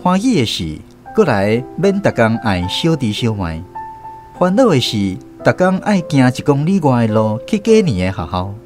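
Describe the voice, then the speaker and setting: A Taiwanese man tells a story in the first person as a radio drama narrator. His pitch moves in a lively way at a middling height, over faint music. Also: moderate pace.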